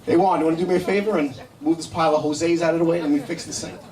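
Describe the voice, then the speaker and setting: High-pitched New York comic, echoey and distant. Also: male voice, fairly even intonation, very fast, a little mellow. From Brooklyn, New York, United States. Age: middle-aged. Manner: brash, profane, conversational. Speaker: stand-up comedian. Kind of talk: live stand-up comedy with crowd banter. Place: indoor comedy show in a cafe. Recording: echoey live room, miked from a distance.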